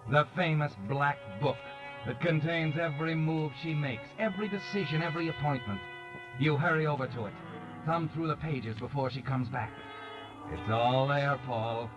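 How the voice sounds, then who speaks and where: A man's voice: on the high side, moderate pace, close to an everyday voice. A man acting an omniscient, ominous radio mystery narrator. His delivery is dramatic and insinuating, in a slightly raised male register. Music plays behind him on a muffled 1940s recording. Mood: urgent, taunting.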